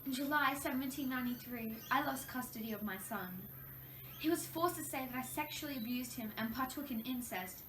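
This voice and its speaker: A woman's voice: sits high, fairly bright tone. A woman playing a wronged young French queen in a scripted interview role play, recounting her troubles in a formal, recited way. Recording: echoey room, distant mic, faint noise.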